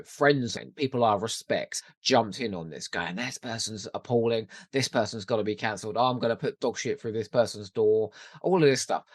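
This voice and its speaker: A British man co-hosting a podcast, talking casually and giving his opinions with animated, sweary storytelling. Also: medium pitch, dry recording, clean studio sound. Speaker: podcast co-host.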